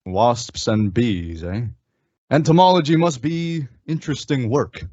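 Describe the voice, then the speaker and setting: An actor playing a calm masked cowboy hero, speaking in a measured, reassuring way in an old-time western radio drama. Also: male voice, medium pitch, no echo, highs cut off. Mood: curious.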